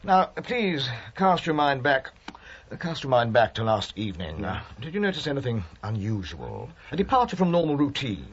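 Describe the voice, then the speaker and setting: An actor playing a patient, mildly exasperated British police inspector in a radio drama, polite and probing with small hesitations. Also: male voice, medium pitch, wildly swooping pitch, moderate pace, lo-fi sound. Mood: dry, exasperated.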